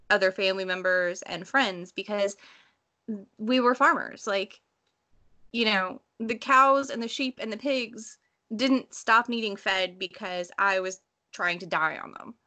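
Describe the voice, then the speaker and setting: Woman, bright clear-edged tone, average pitch, casual discussion, informal, blunt, animated. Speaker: book read-along panelist. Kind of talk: casual discussion, personal anecdotes.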